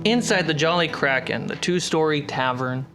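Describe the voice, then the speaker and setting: A man narrating a tabletop fantasy game as game master, in deliberate, suspenseful short phrases. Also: fairly high pitch, animated. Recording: music behind the voice.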